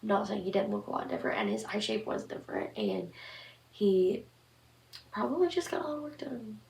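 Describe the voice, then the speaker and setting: Gravelly, monotone young woman. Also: mid-range voice, fairly quick, recorded from a distance. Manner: rambling, many fillers. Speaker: horror story channel host. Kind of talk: casual vlog commentary. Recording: echoey room, off-mic. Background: occasional passing train.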